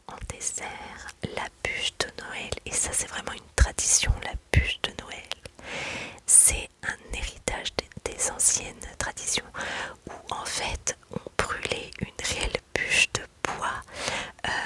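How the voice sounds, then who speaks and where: Slow whispering woman, breathy and close. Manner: soft, hesitant, many fillers. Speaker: mother; video creator. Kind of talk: whispered ASMR chat.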